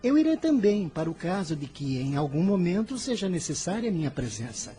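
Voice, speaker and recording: Earnest boy with a mellow voice. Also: lowish voice, a little fast. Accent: Brazilian. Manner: solemn, caring, expressive. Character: earnest, kind, pious boy apostle, gently protective. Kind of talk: radio drama acting. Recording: music under the voice.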